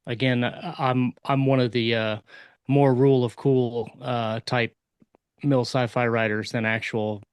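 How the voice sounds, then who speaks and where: A man in casual podcast conversation, with an average-pitched voice and a very dry, close sound. He explains in a halting, meandering way and pokes a little fun at himself as he goes. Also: flat delivery, smooth, no echo. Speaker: podcast co-host and science fiction author. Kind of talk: casual panel chat.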